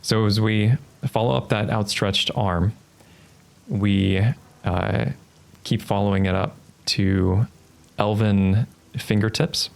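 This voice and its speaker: Low, gravelly man guiding a tabletop game. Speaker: game master. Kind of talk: narrating a tabletop roleplaying game, improvised.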